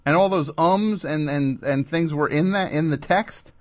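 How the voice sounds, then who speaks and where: A man hosting a comedy radio show, bantering in a dry, skeptical, deliberate manner. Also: on the high side, no echo.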